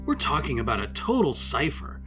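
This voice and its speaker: A man's voice: mid-range voice, huge pitch swings, moderate pace, over music. A man playing a mystified interviewee in a mock-documentary audio drama. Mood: baffled. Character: animated, mystified man recalling an enigmatic artist.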